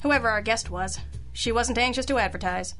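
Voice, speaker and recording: High-voiced sardonic girl detective. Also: a little fast, sharp tone, faint music behind. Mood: shrewd, cool. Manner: dry, teasing, wisecracking. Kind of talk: old-time radio noir acting and narration.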